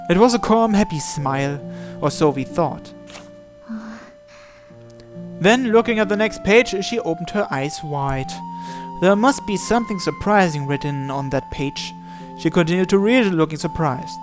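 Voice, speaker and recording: A man's voice: high-pitched, lively intonation, moderate pace. A man reading a visual novel aloud as story narration. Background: game music.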